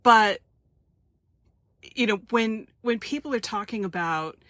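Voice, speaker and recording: A woman's voice: on the high side, a little slow, fairly bright tone, no room sound, clean studio sound. An American woman talking conversationally as an interview host. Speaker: podcast host interviewing guests.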